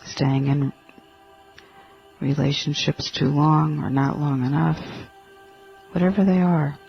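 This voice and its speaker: Slow, even-toned man guiding meditation. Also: fairly high pitch, creaky. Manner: halting, gentle, with long pauses between short phrases. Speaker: meditation guide. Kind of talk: guided meditation, spoken instructions. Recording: dull lo-fi audio with faint music.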